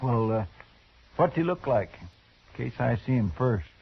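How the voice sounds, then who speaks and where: Folksy, drawling deputy sidekick. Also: male voice, medium pitch, lively intonation, normal speed, vocal fry, slightly rough. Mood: helpful. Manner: folksy, deferential, plain-spoken. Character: folksy, loyal, eager deputy sidekick in a Western. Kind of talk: 1950s radio drama acting. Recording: dull, noisy old radio recording.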